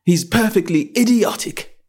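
A man's voice: sits high, expressive, a little slow, darkish tone, husky, voice pushed up, full character voice. A man voicing a polite, curious young girl who grows exasperated. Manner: polite, earnest, getting exasperated.

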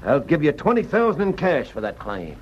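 An actor playing a scheming, anxious swindler in an old-time radio drama, hesitant, wheedling and blustering. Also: male voice, fairly high pitch, huge pitch swings, fairly quick. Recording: dull noisy vintage radio recording.

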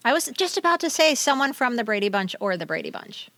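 A woman's voice: on the high side, big pitch swings, quick pace, bright, forceful tone, dry recording. American woman chatting, dry close sound. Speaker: podcast co-host. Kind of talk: casual chat.

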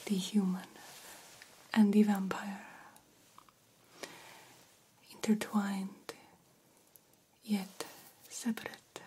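A woman playing a vampire doctor in an ASMR roleplay, guiding a young fledgling with formal, deliberate, hypnotic instructions. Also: medium pitch, flat delivery, dark tone, slight background noise, lightly acted, low-quality recording. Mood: intent, encouraging. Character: stern yet encouraging female vampire doctor mentoring a fledgling.

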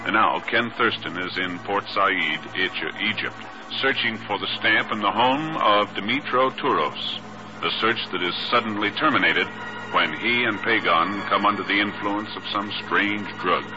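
A man's voice: lowish voice, normal speed, music in the background. A man reading a script as a 1950s radio announcer, formal and emphatic in a declamatory way. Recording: muffled early-1950s radio recording.

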